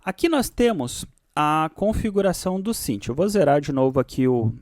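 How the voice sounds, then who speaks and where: A man with a Brazilian accent presenting a software tutorial, switching between read-out explanations and casual asides. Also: a little high, big pitch swings, normal speed, no echo, clean studio sound.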